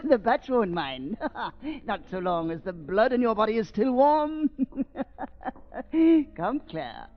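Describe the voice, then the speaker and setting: A man's voice: high voice, big pitch swings, takes it slowly, pauses often, heavily acted. A man playing a gloating, murderous doctor villain with theatrical, mock-tender menace in a 1930s radio drama. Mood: gloating, threatening. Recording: muffled vintage 1930s radio recording.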